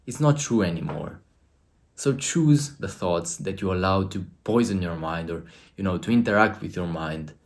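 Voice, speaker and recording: A man's voice: sits low, darkish tone. A man from Romania with a Romanian accent, giving an earnest, emphatic motivational talk to camera as a coach.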